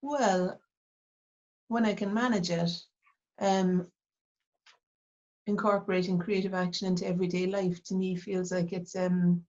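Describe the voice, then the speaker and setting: Soft-spoken Irish woman, dull sound. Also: fairly low pitch, fairly even intonation. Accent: Irish, Cork. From Ireland. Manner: reflective, halting, many fillers and restarts. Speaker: artist, interview guest. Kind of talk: casual interview talk.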